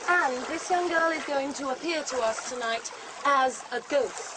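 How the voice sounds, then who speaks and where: An actress as an eager young woman with a high voice. She is earnest and insistent, speaking scripted lines in a learners' drama. A clear noisy wash sits behind her. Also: a little slow. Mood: excited, earnest. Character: eager young woman keen on ghost hunting.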